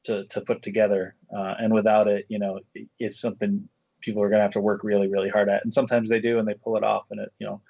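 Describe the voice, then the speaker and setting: A man speaking casually as an interview guest, a screenwriter and director, in a halting, thoughtful way that circles back through rephrasings. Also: on the low side, little pitch movement, gravelly, mellow, no echo. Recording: dull, muffled lo-fi audio.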